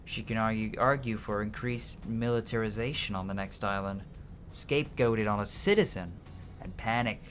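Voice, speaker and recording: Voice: mid-range voice, normal speed. A streamer with a mid-range voice reads game dialogue aloud dramatically. The delivery trips over words and corrects itself. Game music plays behind the voice. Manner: dramatic reading with stumbles and self-corrections.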